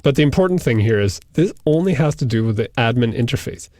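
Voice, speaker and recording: A man whose first language is Norwegian talks through software settings one by one at a steady middle pitch. His explanations are plain and methodical. The recording is close and dry, with no room sound. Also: quick pace, dry recording. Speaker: software tutorial instructor. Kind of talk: screen-recorded software tutorial.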